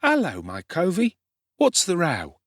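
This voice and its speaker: High, cocky Cockney street boy. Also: wildly swooping pitch, a little slow, no echo, clean studio sound, heavily acted. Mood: brash greeting. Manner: brash, slangy, self-assured.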